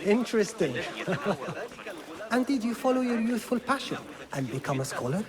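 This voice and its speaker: A man's voice: sits high, very animated, normal speed, crowd noise. An actor playing a polite, scholarly man with a devious, cowardly streak in a video game. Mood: courteous, probing.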